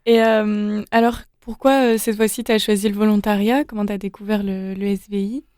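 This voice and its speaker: A woman interviewer asks her questions slowly, stopping often to search for words. Her voice is mellow and smooth. The sound is dry and close. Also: mid-range voice, flat delivery, no room sound. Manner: slow, halting questions with fillers.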